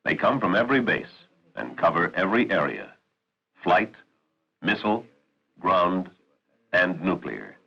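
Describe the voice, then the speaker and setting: A man giving formal, authoritative, measured narration for a 1960s military training film. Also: sits low, gravelly, breathy edge. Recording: muffled 1960s film soundtrack.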